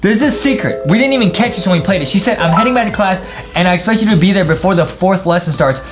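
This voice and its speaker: A woman hosting an excited, slangy reaction commentary on video game voice lines, talking emphatically. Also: medium pitch, fast. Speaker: host. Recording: music behind the voice. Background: music.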